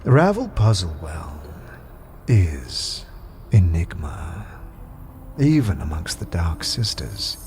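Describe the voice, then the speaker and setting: Very deep, imperious sorcerer. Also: male voice, wildly swooping pitch, a little mellow, heavily acted. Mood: knowing, ominous. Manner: slow, theatrical, haughty delivery. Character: imperious, menacing, cunning male sorcerer. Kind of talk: fantasy audio drama acting. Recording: music behind the voice.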